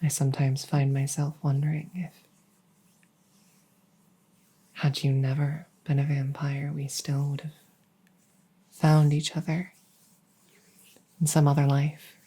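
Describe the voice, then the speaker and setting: A woman playing a devoted, doting vampire maid in a soft-spoken ASMR roleplay, gentle and deferential, often slipping into a whisper. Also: sits low, little pitch movement, slightly rough. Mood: tender, wistful. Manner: gentle, unhurried, deferential, formal.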